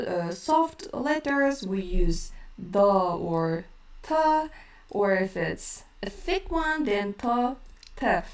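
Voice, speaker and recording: A woman with a Kazakh accent teaching her language in a live stream, speaking slowly with many hesitant fillers while she reads chat questions. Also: on the high side, a little mellow, roomy sound, far from the mic.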